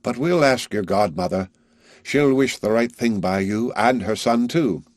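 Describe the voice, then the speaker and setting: A quiet old countryman, a lonely weaver, speaks softly and slowly, low and careful, as a male narrator plays him. The voice sits close and dry. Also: dry recording. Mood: subdued. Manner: subdued, slow, tender. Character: old lonely village weaver, gentle father.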